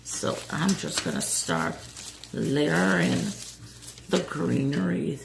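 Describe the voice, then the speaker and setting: Hoarse lady crafter, lively up and down voice, slow easy pace, faint background noise. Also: low voice. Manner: relaxed, talks through each step as she works. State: hoarse, voice going. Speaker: craft vlogger. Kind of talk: casual DIY tutorial narration. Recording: roomy echo with faint noise.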